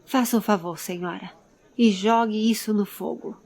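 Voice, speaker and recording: A woman's voice: medium pitch, steady tone, moderate pace, a little mellow, lightly acted. A woman voicing a furious, commanding male warrior in an audio drama, giving curt orders. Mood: curt, angry.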